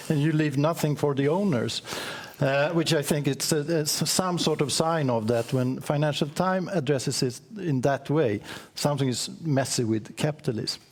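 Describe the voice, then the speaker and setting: A man speaking as a panelist from banking in a panel discussion, in a measured, reasoned way. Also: medium pitch, a little mellow.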